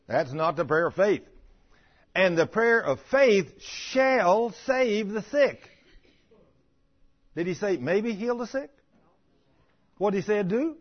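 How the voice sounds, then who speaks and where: A man's voice: sits high, animated, slow pace, long pauses, muffled recording. A man preaching in a folksy, emphatic way, reading scripture and putting plain questions to the congregation.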